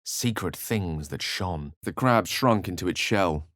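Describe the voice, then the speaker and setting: Scholarly wizard, low-pitched, eloquent, theatrical, self-assured romantic, video game character, dry studio sound. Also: male voice, lively intonation, a little slow, a bit bright, no room sound. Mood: grave, narrating. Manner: eloquent, theatrical, ornate phrasing.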